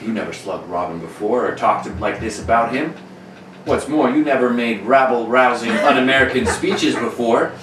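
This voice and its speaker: A man's voice: medium pitch, normal speed, lightly acted. A man playing an earnest, determined newspaper reporter with a secret superhero identity, in a 1940s radio serial reading. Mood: confronting. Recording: roomy, noisy, distant stage microphone.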